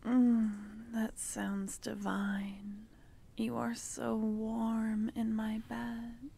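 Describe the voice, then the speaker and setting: A woman playing a young female court necromancer who is tenderly affectionate and playful with her lover, speaking softly and often in a whisper for an ASMR roleplay. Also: on the high side, little pitch movement, drawn-out words, creaky, slightly rough, exaggerated voice. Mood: tender, teasing. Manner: soft, intimate, often whispered.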